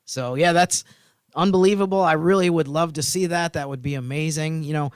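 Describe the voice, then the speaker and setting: A man's voice: a little high, a little fast, clean tone, no room sound, clean studio sound. A man co-hosting a sports podcast, talking in an earnest, easygoing way. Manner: earnest, easygoing, says "you know" a lot. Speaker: team reporter, podcast co-host. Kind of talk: casual sports podcast chat.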